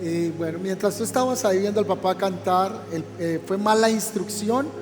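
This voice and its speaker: High-voiced pastor asking questions. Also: male voice, normal speed, big-room sound, over music. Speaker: pastor, interviewer. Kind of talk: church interview.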